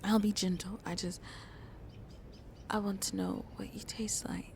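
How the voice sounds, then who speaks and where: A woman playing a shy, lonely vampire in an intimate ASMR roleplay, speaking softly and hesitantly, often half whispering. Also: mid-range voice, little pitch movement, background noise. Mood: tender, seductive. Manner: slow, hesitant, halting, soft and breathy. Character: shy, lonely female vampire on a tender first date.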